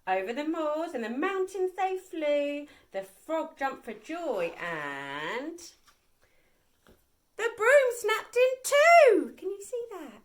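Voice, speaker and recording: A woman reading a children's bedtime story aloud in an animated, rhythmic, dramatic way. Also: sits high, slow pace. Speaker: nursery staff member reading a story.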